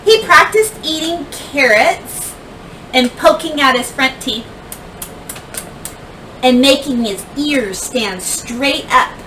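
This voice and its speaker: A woman reads a children's storybook aloud in a high, bright voice. She takes it very slowly, leaning on key words and leaving dramatic pauses like a classroom teacher. The recording sounds a little distant from the microphone. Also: lively intonation. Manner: deliberate storyteller phrasing with dramatic pauses and emphasis. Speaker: teacher reading a picture book aloud. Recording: distant mic, faint background noise.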